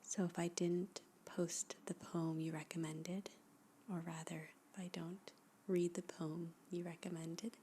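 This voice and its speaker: A woman host whispering softly in an ASMR style, as for a poetry reading, with a hushed, deliberate delivery and long pauses. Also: lowish voice, flat delivery, a little hiss or hum.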